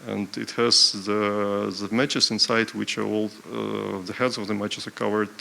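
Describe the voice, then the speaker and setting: Male panelist, low gravelly voice, hesitant delivery with lots of ums and restarts. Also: level tone, sharp tone, a little hiss or hum. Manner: halting, full of fillers and restarts. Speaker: panel speaker presenting an art program. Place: panel discussion at an art event venue.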